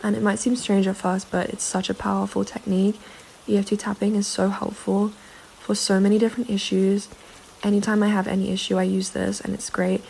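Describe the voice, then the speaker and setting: A woman's voice: medium pitch, little pitch movement, a little fast, gravelly, darkish tone, slight background noise, low-quality recording. A young woman vlogger, slightly under the weather, giving earnest, reassuring advice to camera with frequent fillers. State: slightly sick. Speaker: self-help vlogger. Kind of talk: casual advice talk to camera.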